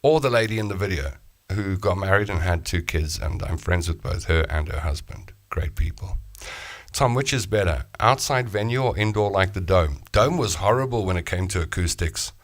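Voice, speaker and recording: Low-voiced host, chatty. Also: male voice, animated, moderate pace, no echo. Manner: chatty, self-mocking, rambling asides. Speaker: live show host. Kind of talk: casual chat reading viewer comments. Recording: music playing behind the voice.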